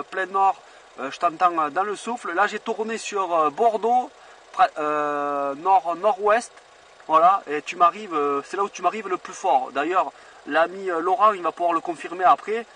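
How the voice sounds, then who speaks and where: A man chatting as an amateur radio operator, halting and repeating himself as he explains. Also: sits high, sharp tone. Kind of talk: amateur radio contact chat. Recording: distant mic, faint outdoor noise.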